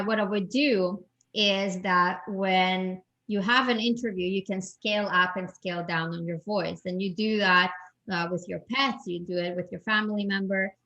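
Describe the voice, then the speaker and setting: A woman's voice: medium pitch, gravelly, pushed and bright, muffled recording. A woman from Europe, a guest expert giving advice in a panel talk, with a strong voice and many restarts and repeated words.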